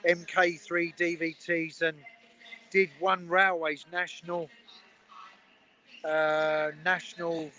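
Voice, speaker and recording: Bright upbeat host. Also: male voice, fairly high pitch, little pitch movement, slow, long pauses. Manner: upbeat, laughs often, reads viewer comments. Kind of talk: casual livestream chat. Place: outdoors at a railway station. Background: trains.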